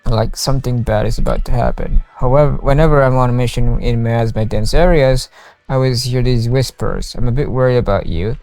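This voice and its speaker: A man's voice: mid-range voice, brisk, darkish tone, dry recording. A man streaming a game, reading its dialogue aloud and reacting to it.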